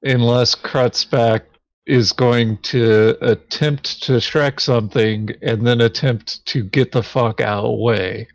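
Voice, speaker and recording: Very slow-talking man thinking aloud. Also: mid-range voice, fairly even intonation, dry recording, muffled recording. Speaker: board game player. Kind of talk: casual game table chat.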